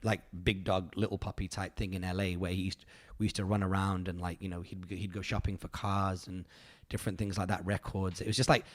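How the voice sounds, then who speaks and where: Podcast host, man in his thirties, low voice, loose rambling delivery with lots of fillers. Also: level tone, brisk, few pauses. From United Kingdom. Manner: rambling, filler-heavy, earnest and grateful. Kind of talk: casual reflective chat.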